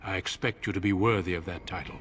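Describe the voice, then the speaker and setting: A grave, low-voiced warrior leader. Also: male voice, steady tone, a bit bright, slight background noise. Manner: grave, measured, formal. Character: older male warrior leader, calm and grave. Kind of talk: video game voice acting.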